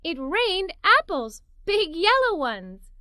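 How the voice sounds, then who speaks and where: A woman narrating a children's story aloud in an expressive, playful way. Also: extremely high pitch, slow, dry recording.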